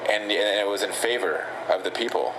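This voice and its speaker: A man making a complaint as a customer on a phone call, insistent and argumentative, often repeating himself haltingly. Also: lowish voice. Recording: noisy recorded phone call.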